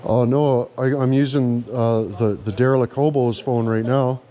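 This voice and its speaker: A man's voice: medium pitch, steady tone, moderate pace, mellow, slight background noise. A man bantering casually and sarcastically, needling the person he is talking to in a garage. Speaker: prank-playing vlogger. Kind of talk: casual mocking banter with a caller. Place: workshop garage, indoors.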